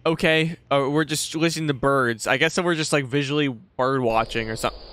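A young man giving casual, expressive let's play commentary with some swearing, close on a desk microphone. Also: medium pitch, a little fast, dry recording. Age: young adult. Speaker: gaming video host. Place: small indoor gaming room. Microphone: close boom-arm desk microphone. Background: game audio and music.

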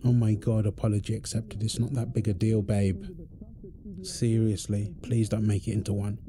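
A man's voice: fairly low pitch, background noise, natural voice. A man playing a tired, irritable firefighter husband in an intimate roleplay audio drama. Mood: soft, weary. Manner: weary sighs, snappy, then apologetic.

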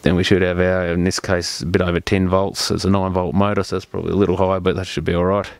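A man with a fairly low, smooth and clear voice talks through a hands-on repair job. He explains things step by step in plain, practical terms, unscripted, and the sound is close and dry. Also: level tone, fast, dry recording. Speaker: repair technician presenting a tutorial.

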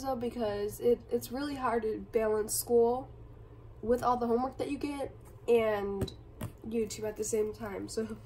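A young woman, hoarse and raspy from being sick, chatting casually and apologetically while unboxing on a bedroom floor. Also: a little high, a little mellow, recorded from a distance, slight background noise, poor audio. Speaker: vlogger. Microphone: phone camera filming from above.

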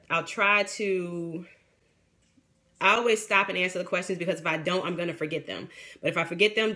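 A woman in her thirties, a realtor, chatting frankly with viewers on a phone livestream, her voice a bit raspy from a sinus infection. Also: fairly low pitch, bright, forceful tone. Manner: frank, chatty, reads out and answers viewer comments. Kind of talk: casual livestream chat with viewers. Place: small room indoors. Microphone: phone front camera at arm's length, neckband headset.

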